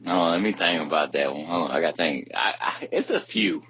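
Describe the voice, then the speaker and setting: A man's voice: low-pitched, huge pitch swings, brisk, gravelly, fairly bright tone, husky, no room sound. A man co-hosting a podcast in casual, slangy, profane chat, often repeating and circling back on his phrases. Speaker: podcast co-host. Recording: dull, lo-fi audio.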